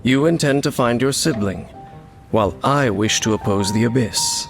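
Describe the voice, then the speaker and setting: An actor playing a stoic young male wanderer in a game, calm and measured. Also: mid-range voice, vocal fry, lightly acted. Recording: game audio with music underneath.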